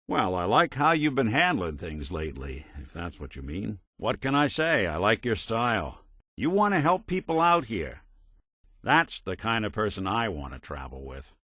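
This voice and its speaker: A man's voice: medium pitch, a bit bright, no room sound, studio quality. An actor playing a world-weary synth detective in a video game, speaking in a wry, sardonic, old-time tough-guy manner. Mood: warm, approving. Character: world-weary wisecracking male synth robot detective, middle-aged. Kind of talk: scripted game companion dialogue, noir detective.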